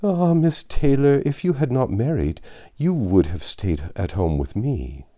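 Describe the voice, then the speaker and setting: A man reading a storybook, voicing a gentle, fretful elderly father who fusses anxiously and plaintively. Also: medium pitch, sing-song, unhurried, voice pushed up. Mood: gentle reproach. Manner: fussy, plaintive. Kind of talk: audiobook character voice.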